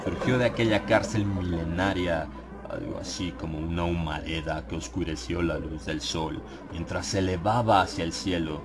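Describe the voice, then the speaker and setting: A man narrating a dramatized horror audiobook in a solemn, measured manner with suspenseful pauses. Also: low voice, loud music behind the voice. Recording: clear ambient sound effects behind the voice. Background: atmospheric horror sound effects.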